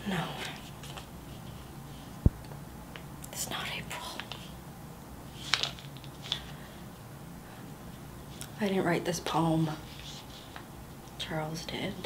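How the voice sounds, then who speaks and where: A woman's voice: sits high, animated, unhurried, background noise. A woman performing a stage monologue as a young wife who pleads with her husband not to divorce her, laughing through near tears and rambling. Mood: hushed, pleading.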